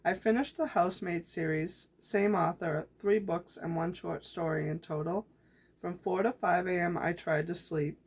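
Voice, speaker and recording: A woman vlogger reading her handwritten journal entries aloud in a calm, reflective way, her voice growing raspy. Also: fairly low pitch, normal speed. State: voice getting raspy.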